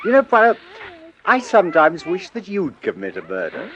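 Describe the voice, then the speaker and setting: An actor playing a bluff, teasing English police inspector with blunt, chummy delivery in a vintage radio drama. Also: male voice, high-pitched, huge pitch swings, moderate pace, fairly bright tone, dull audio, full character voice. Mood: wry, teasing. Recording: slightly noisy old radio drama.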